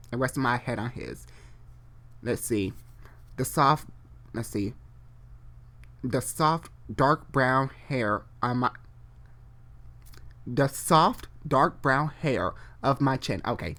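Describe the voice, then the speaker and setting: A person reading fan fiction aloud live, stumbling and repeating phrases, and breaking off for chatty, informal asides. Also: mid-range voice. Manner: halting, repeats and corrects phrases while reading. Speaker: online storyteller.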